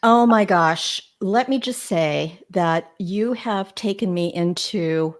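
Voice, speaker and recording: A woman's voice: mid-range voice, slow. A woman joining a livestream panel as a guest, speaking hesitantly with many restarts and a slightly scratchy voice. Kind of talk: casual livestream chat.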